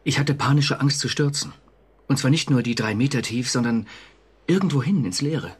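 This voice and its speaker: Casting: nervous, dutiful office official in a radio play, mid-pitched, slightly husky, confiding his fears with agitation. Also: animated, normal speed. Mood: haunted, confessing. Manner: agitated, confessional. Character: anxious conscientious male civil servant with terror of falling. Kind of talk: German radio drama acting.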